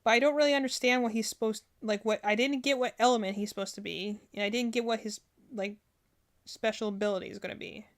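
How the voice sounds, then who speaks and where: Female reaction streamer, slightly high-pitched, casual and opinionated, rambles as she thinks out loud. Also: fairly even intonation, quick pace. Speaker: streamer reacting to game character trailers.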